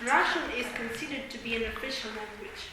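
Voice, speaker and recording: A young woman student with a Ukrainian accent, answering in a classroom role-play and often pausing to search for words. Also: on the high side, expressive, noisy background. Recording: echoey classroom.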